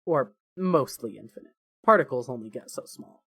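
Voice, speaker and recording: A man narrates a scripted story in a mid-pitched voice. The recording is close and dry, with very little room sound. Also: lively intonation, moderate pace, fairly bright tone, slightly rough, dry recording.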